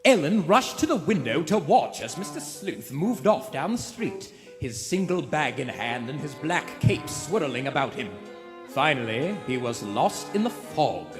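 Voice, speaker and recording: An actor playing the suspenseful narrator of a vintage thriller, telling it theatrically in a live radio play. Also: male voice, fairly high pitch, sing-song, unhurried. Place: theatre stage before a live audience. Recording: echoey theatre with live music behind. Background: musical accompaniment.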